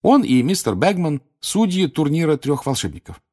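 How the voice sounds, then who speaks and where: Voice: mid-range voice, big pitch swings, moderate pace, darkish tone, voice pushed up. A storybook reader voicing a sensible teenage girl who speaks in a firm, matter-of-fact way. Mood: firm, reassuring.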